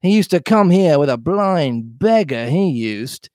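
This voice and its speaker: A man reading aloud as a wily old-fashioned storybook pirate, blustering and emphatic. His pitch rides high and swoops widely, and he drags his words out slowly. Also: sing-song, darkish tone, no echo, clean studio sound. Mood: excited, scheming. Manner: drawn-out, emphatic, swooping delivery. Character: Long John Silver, blustering, wily male pirate sea-cook. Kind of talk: storybook read-aloud character voice.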